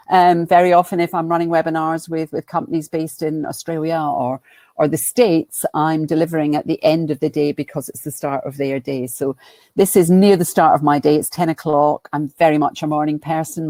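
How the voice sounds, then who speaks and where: A low-pitched woman with a Glaswegian Scottish accent speaks on a webinar. She is friendly and chatty, dropping in ums and restarting sentences. The sound is dry and close, picked up by a computer mic on a video call. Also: mellow, no echo. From Scotland. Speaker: guest speaker and business coach. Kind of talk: webinar presentation.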